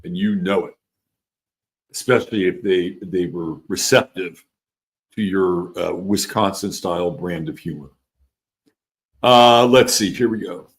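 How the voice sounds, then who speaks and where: Low-voiced man with a California and East Coast accent. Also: a little slow, pauses often. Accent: California and East Coast mix. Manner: loose and rambling, trails off, restarts, swears casually. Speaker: livestream host. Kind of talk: casual livestream chat reading viewer comments.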